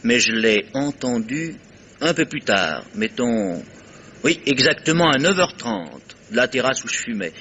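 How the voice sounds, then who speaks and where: Old radio drama major: mid-pitched, sharp-edged, formal, clipped and prickly, light noise behind. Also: male voice, very animated, a little slow. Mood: stiff, precise. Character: male army major, stiff, curt, prickly. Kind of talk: vintage French radio mystery acting.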